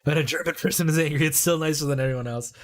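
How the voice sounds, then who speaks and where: A man's voice: fairly high pitch, very fast. A man from Canada with a generic Canadian English accent, speaking English as a second language and chatting casually as he streams a video game. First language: not English. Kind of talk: casual livestream chat.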